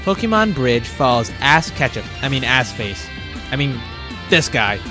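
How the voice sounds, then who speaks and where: A man's voice: medium pitch, very animated, measured pace, over music. A man hosting a countdown review video in a casual, scripted narration with a wry, jokey manner. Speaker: host of a top-list video.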